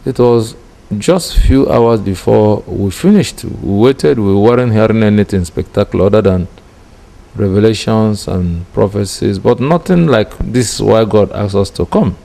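A man's voice: lowish voice, measured pace, creaky, mellow. A Nigerian-accented man in his fifties, an apostle, recounting his story reflectively in an interview, close to the microphone indoors. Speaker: church founder, apostle. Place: small curtained room indoors. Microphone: handheld-style microphone close in front.

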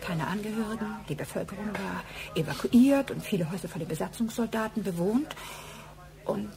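An actress playing a discreet, confiding secretary who speaks hesitantly in a German radio crime drama. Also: female voice, mid-range voice, animated, fairly quick, gravelly, a crowd in the background. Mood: apologetic. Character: discreet office secretary, confiding, apologetic, gossipy woman.

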